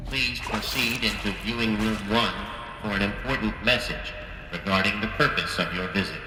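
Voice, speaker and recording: A polite, impersonal facility computer from a science-fiction game, voiced by a man. The male voice is fairly low and makes formal announcements. It rings with long hall reverb, with music behind. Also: moderate pace, close to an everyday voice.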